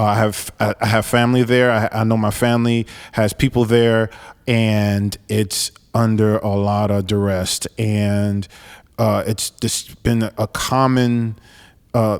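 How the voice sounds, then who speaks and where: Forties male podcast host, earnest and halting, smooth resonant tone, reverberant room. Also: fairly low pitch. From New York, raised in Florida. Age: 40s. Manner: earnest, halting with many restarts and fillers. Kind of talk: solo podcast monologue.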